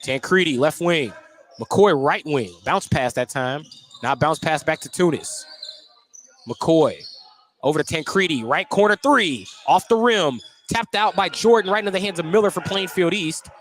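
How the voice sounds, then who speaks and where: A man calling live basketball play-by-play on the radio, getting excited on big plays. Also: a little high, normal speed, a little hiss or hum. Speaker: play-by-play announcer. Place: basketball gym at a tournament.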